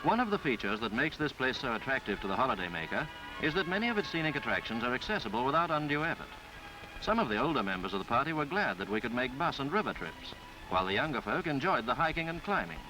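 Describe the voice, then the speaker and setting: Lively-pitched man narrating a 1950s newsreel. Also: low-quality recording. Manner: formal, florid, measured delivery. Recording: 1950s film soundtrack with background music. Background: music.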